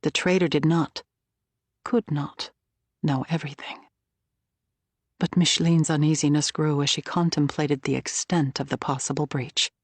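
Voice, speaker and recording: A woman's voice: low voice, fairly even intonation, normal speed, pauses often, dry recording. A woman reading a historical thriller audiobook in a scripted, dramatic narrating style.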